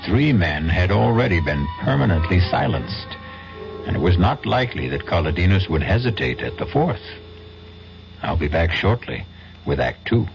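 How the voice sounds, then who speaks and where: A man's voice: low-pitched, sing-song, moderate pace, creaky. A man narrating and hosting an old radio mystery drama. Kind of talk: scripted narration. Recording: dull old radio broadcast over music.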